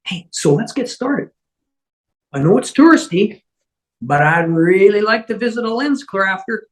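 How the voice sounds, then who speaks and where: Someone reading a cheery wistful boss type, doomed but upbeat, takes it slow, voice swings around. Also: mid-range voice, animated, natural voice. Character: Michael, cheery, wistful leader resigned to doom. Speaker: amateur table-read actor. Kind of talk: fan table read of TV comedy script. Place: online video call.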